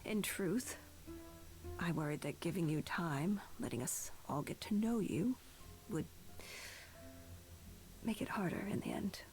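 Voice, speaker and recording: A woman playing a stern, weary goddess who leads the gods in a video game, speaking in a measured, formal way. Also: lowish voice, lively intonation, a little mellow, faint music behind. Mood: grave, restrained.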